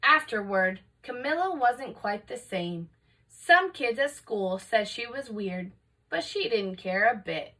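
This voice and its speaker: A woman narrating a children's picture book aloud in an expressive, scripted read-aloud style, picked up from slightly off the microphone. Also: fairly high pitch, takes it slowly, far from the mic. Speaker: storybook reader for remote learning.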